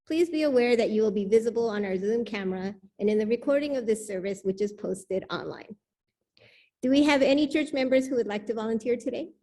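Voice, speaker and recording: A woman with a fairly high voice leads a church service. She reads prepared words and announcements to the congregation from a microphone at the front. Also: a little fast, dark tone. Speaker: worship leader welcoming the congregation. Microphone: pulpit microphone in a church service.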